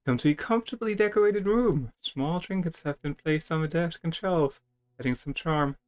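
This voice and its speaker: A man's voice: on the high side, normal speed, a little mellow. A man playing a video game, reading its text aloud dramatically with stumbles and casual commentary. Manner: theatrical, stumbles over written text. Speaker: video game player and streamer.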